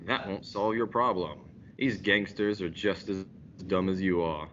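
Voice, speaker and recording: A man's voice: deep voice, unhurried, fairly bright tone. Cocky wise-guy gangster, muffled sound young man acting. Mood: cocky, mocking. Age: teens. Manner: slangy wise-guy delivery. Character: cocky, sneering male mob boss. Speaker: school radio drama actor. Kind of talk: gangster radio-play acting. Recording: dull audio with faint noise.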